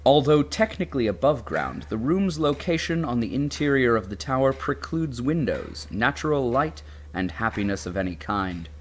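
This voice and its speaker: A man playing a radio drama narrator, reading scripted scene-setting in a deliberate, dryly humorous, theatrical way. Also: mid-range voice, big pitch swings, a little hiss or hum, lightly acted. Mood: wry. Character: wry, grandly somber male radio drama narrator.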